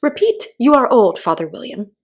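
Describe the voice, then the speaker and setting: A woman's voice: a little high, very animated, normal speed, pitched above the performer's own voice. A woman voicing a curt, contemptuous, easily offended caterpillar in short, clipped remarks for a children's storybook. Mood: commanding, curt. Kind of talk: children's storybook read-aloud.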